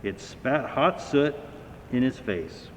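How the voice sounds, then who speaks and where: A man reading a children's story aloud with dramatic, expressive storybook narration. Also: takes it slowly. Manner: dramatic, acting out sounds and dialogue. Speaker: fire battalion chief reading a children's story. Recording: echoey hall, dull sound, faint rumbling noise.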